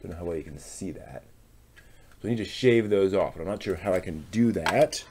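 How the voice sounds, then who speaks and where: Low-voiced man musing aloud, noisy recording. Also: fairly quick. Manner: tentative, musing, trailing off. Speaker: host of a live craft stream. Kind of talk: casual hands-on demonstration, thinking aloud.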